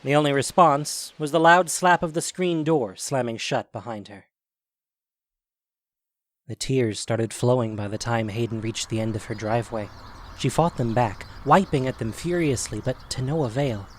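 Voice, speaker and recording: A man's voice: mid-range voice, moderate pace, slight background noise. A man narrating a scripted audio drama as its storyteller.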